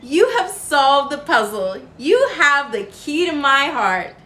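A woman's voice: high-pitched, sing-song, slow pace, a bit bright, roomy sound, voice pushed up, exaggerated voice. A woman voicing a kindly, patient, jolly old grandfather in a storybook, with encouraging delivery. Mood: proud, jolly.

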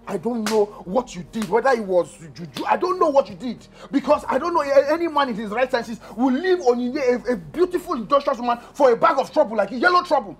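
An actor with a Nigerian accent playing a defiant, unfaithful husband in a film drama, repeating words for emphasis. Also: male voice, sits high, a little fast, few pauses, soft background music, natural voice. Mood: indignant, defiant. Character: defiant unfaithful husband longing for his peaceful ex-wife.

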